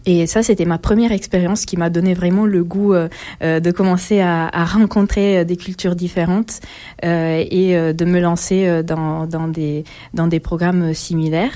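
Woman, 40s, halting, lowish voice, warm tone. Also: steady tone. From Italy. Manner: halting, thoughtful, many pauses. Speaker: guest. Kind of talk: interview answers.

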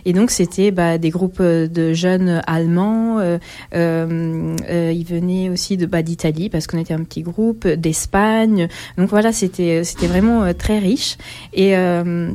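A woman in her forties speaks slowly and thoughtfully, pausing often between phrases. Her voice sits a little low and sounds mellow and warm. She is answering as a guest in an interview. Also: steady tone. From Italy. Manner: halting, thoughtful, many pauses.

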